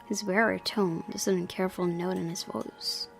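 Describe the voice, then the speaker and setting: Gravelly-edged woman reading a game aloud. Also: on the low side, fairly even intonation, normal speed, soft background music. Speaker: game streamer. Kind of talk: reading visual novel narration aloud with asides.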